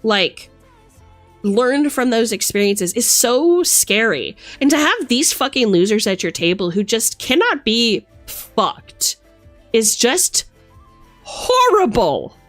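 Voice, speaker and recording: A woman's voice: on the high side, very animated, slow, a bit bright, no echo. A woman streamer and game master delivering a casual, opinionated rant, emphatic, theatrical and sweary, close on the microphone. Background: music.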